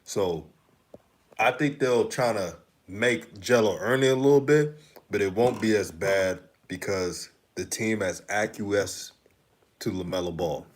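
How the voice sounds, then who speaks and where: A man's voice: on the low side, unhurried. A man from Houston with a slight Texan accent, hosting a live stream, chatting loosely and laughing often while reading comments. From Houston, Texas. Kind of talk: casual live-stream talk, reading the chat.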